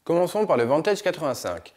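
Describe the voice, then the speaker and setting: Man, thirties, ski shop advisor, fairly high voice, enthusiastic scripted pitch, indoor studio. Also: very animated, a little fast, a little mellow. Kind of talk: scripted product presentation. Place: indoor studio with skis on a wall.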